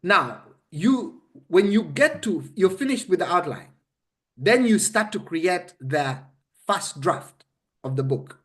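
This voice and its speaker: A man's voice: high-pitched, animated, takes it slowly, a bit bright. A man with a Ugandan accent coaching conversationally, explaining step by step in an emphatic, instructive way and often checking that he is understood. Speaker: interview guest explaining a method.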